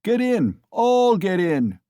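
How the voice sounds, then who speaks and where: A man voicing a drunken, brutal young male peasant who bullies and shouts. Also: high-pitched, big pitch swings, takes it slowly, clear, resonant tone, dry recording, clean studio sound, voice pushed up, exaggerated voice. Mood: furious. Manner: shouting, bullying.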